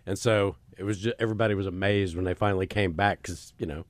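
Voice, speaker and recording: A man with a deep, low voice co-hosts a radio show. The sound is dry and close. He banters casually, joking and rambling as he goes. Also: steady tone, quick pace, dry recording. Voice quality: deeper than his usual nasal voice.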